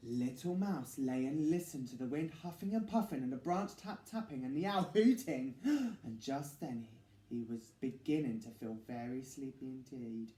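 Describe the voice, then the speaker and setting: A man reading a picture book to children as an expressive storyteller, with theatrical emphasis and dramatic pauses. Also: medium pitch. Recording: echoey room.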